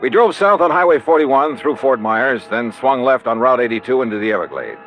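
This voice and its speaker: A man's voice: medium pitch, sing-song, brisk, a bit bright, soft background music. An actor playing a sardonic, impatient American insurance investigator who narrates his case in a 1950s radio drama. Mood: brisk narration. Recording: dull, noisy old radio recording.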